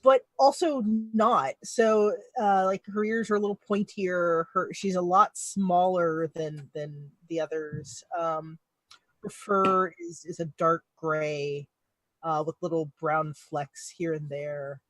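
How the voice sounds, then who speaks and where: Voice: mid-range voice, steady tone, slow pace, no room sound, rough recording. A player in a tabletop game, talking casually with playful asides. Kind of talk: casual actual-play chat.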